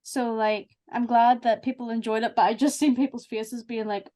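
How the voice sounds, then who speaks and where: A woman's voice: a little high, moderate pace. A woman, chatting casually and effusively as a voice actor. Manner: effusive, animated storytelling with acted-out asides. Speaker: voice actor and executive producer. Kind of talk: casual cast chat.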